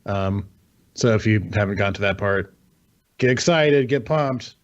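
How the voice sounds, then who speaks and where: A man's voice: fairly low pitch, a little slow. Rambling, profane man, slightly muffled sound. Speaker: podcast co-host. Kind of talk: casual video game chat.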